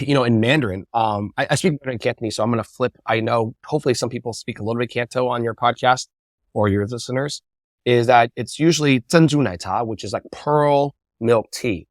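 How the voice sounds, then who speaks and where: A man being interviewed, telling casual anecdotes and acting out quotes with animated energy. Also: mid-range voice, dry recording, studio quality. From United States. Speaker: interview guest, bubble tea entrepreneur.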